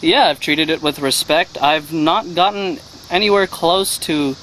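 A young man answering interview questions outdoors into a handheld mic, halting with many fillers. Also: fairly high pitch, fairly bright tone, a little hiss or hum, rough recording. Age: young adult. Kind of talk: casual interview. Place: outdoors against a garage door. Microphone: handheld mic held by interviewer.

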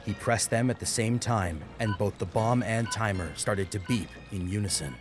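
A man's voice: on the low side, normal speed. A man narrating a scripted story dramatically as an audiobook reader, with music behind him.

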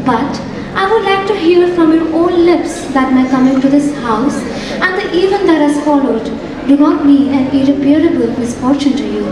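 A woman's voice: high voice, moderate pace, a crowd in the background, lightly acted. A young woman acting an eager, adoring young woman on stage, wide-eyed and exclaiming. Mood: earnest. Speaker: student actor in a stage play. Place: large auditorium stage. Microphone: distant stage mic. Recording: echoey auditorium from far off.

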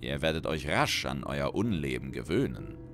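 A man's voice: extremely low pitch, very animated, moderate pace, gravelly, over music, voice pushed down, heavily acted. Undead female necromancer, played by a male narrator: grandiose, sinister, hollow delivery, storybook villainy. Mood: gloating threat. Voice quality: hollow-sounding.

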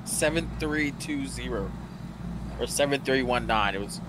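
A man's voice: medium pitch, a little slow. Matter-of-fact man over noisy background. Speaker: roleplay gamer playing a lawman. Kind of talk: casual in-character game talk. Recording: noisy, game vehicle and outdoor ambience.

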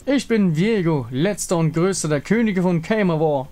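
A man voicing a grandiose, lovesick undead villain king in a slow, theatrical, menacing manner. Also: high voice, lively intonation, a little mellow, voice pushed up. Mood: haughty, menacing. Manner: slow, drawn-out, theatrical, pompous.

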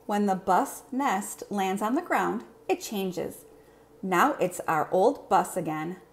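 Woman, children's storyteller, very varied intonation, unhurried pace, expressive read-aloud. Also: mid-range voice. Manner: clear, simple, expressive delivery for young children.

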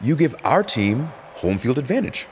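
A man's voice: medium pitch, big pitch swings, normal speed, close to an everyday voice. A man playing a level-headed, sardonic pro wrestler in a video game, reasoning with some disbelief. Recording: echoing arena, faint noise.

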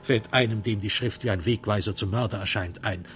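A man reading dramatic literary narration for a radio crime drama, in measured, deliberate phrasing with emphatic stresses. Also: on the low side, a bit bright, soft background music.

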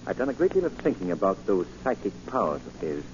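An actor playing a decisive gentleman detective, formal and articulate, in 1940s radio drama style. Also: deep voice, big pitch swings, quick pace. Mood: assured, decisive. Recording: muffled, lightly noisy 1940 radio recording.

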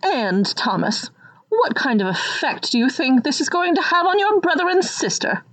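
A prim sitcom mom acted by a woman in a radio comedy, full of melodramatic disapproval. Her highish voice rises and falls freely. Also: animated, moderate pace, fairly bright tone, low-quality recording. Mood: scolding. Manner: melodramatic scolding. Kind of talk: comic radio play acting.

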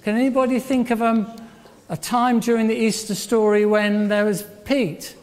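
A man's voice: high voice, level tone, moderate pace. An older man giving an interactive church talk, putting questions to listeners and repeating their answers back approvingly. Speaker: church leader at an all-age service. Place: church hall, addressing a congregation. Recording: echoey church hall, faint background noise.

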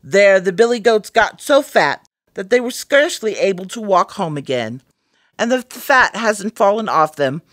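Woman, children's story narrator, expressive, animated intonation, dry recording. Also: medium pitch, normal speed, dry recording. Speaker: library story-time narrator. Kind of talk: scripted children's fairy tale narration.

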